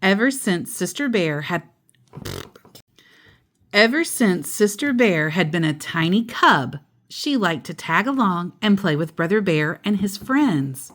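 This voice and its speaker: A woman storyteller reads a children's picture book aloud at a slow, unhurried pace. Her pitch moves up and down in a very animated way, and she adds simple asides for a young listener.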